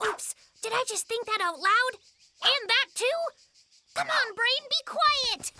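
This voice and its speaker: A performer voicing an excitable, boastful little brown bear cub in a children's cartoon. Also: extremely high pitch, unhurried, very noisy, exaggerated voice. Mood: sheepish, flustered. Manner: exclamatory, thinking out loud, enthusiastic. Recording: music behind the voice. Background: children's cartoon music.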